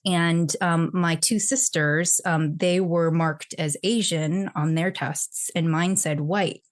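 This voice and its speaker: A woman talks with a lower voice, chatty and easygoing as she tells stories from her childhood. Her speech runs along with lots of fillers and restarts, like a relaxed podcast conversation. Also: slow. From Texas, United States. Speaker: podcast guest. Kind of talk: casual conversational interview.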